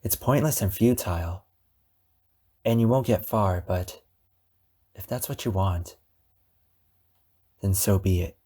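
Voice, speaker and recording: A man acts out an obsessive male captor in an intimate ASMR drama. His voice sits a little low and moves very slowly, with weighty, deliberate pauses. He sounds wounded and possessive, lovesick with a menacing edge. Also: mellow, breathy edge, full character voice. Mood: quiet, menacing. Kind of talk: ASMR roleplay monologue addressed to the listener.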